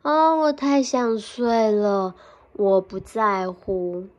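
A woman voicing a sleepy, lazy male bear in a picture-book read-aloud, speaking in a slow, drowsy, yawning drawl. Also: medium pitch, lively intonation, a little mellow.

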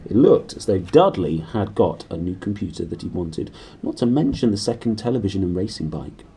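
A British man in his thirties reading a storybook aloud briskly and expressively to a camera in a small room. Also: low voice, big pitch swings, gravelly, warm tone, slight background noise. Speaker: reader. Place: small decorated room indoors.

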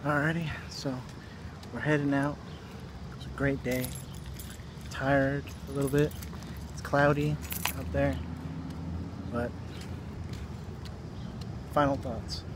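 A man's voice: medium pitch, level tone, slow, long pauses. A young American man chatting casually as he vlogs on a handheld phone. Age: young adult. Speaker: vlogger. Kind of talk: casual vlog chat. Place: outdoors and busy convention hall. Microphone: phone at arm's length. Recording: noisy handheld phone, public ambience.

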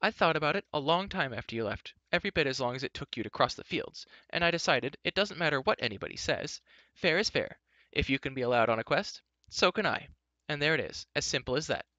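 A man's voice: on the high side, expressive, fast talker, pushed and bright, dry recording, lo-fi sound, voice pushed up. A man voicing a headstrong young princess in a story, chattering on in a breezy, insistent, argumentative way. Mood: defiant.

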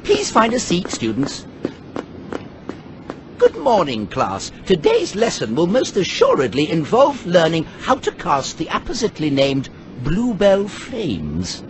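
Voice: medium pitch, huge pitch swings, measured pace, a little hiss or hum. Swooping, fussy little old wizard teacher. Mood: brisk, instructive. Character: tiny elderly, fussy, cheerful wizard professor. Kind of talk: scripted video game acting.

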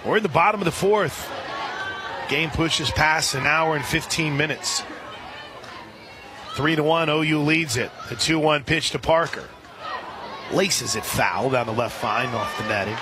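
A man working as a radio play-by-play announcer, calling a live softball game. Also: on the high side, unhurried, pauses often. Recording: outdoor stadium crowd noise behind. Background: ballpark crowd and outdoor ambience.

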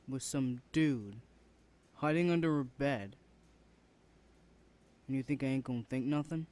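A man's voice: medium pitch, warm tone, lightly acted. A man playing a rude, protective older brother in an ASMR roleplay, confrontational and demanding, swearing freely. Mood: stern, protective.

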